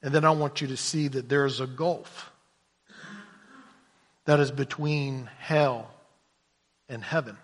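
A man preaching a sermon, dropping to hushed low lines and rising to loud shouted emphasis, often repeating words as he goes. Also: steady tone, takes it slowly, pauses often, big-room sound. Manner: repeats words when stumbling.

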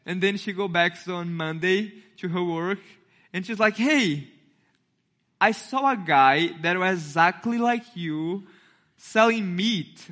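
A man's voice: high voice, takes it slowly, fairly bright tone. A man in his twenties from Brazil with a Brazilian Portuguese accent, a native Portuguese speaker, giving a friendly sermon and acting out the voices in his story. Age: 20s. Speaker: intern and youth speaker.

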